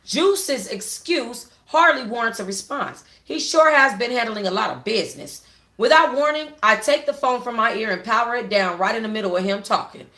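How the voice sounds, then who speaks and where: A woman book club reader narrating a first-person story aloud in an informal, expressive way. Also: fairly high pitch. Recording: echoey home room.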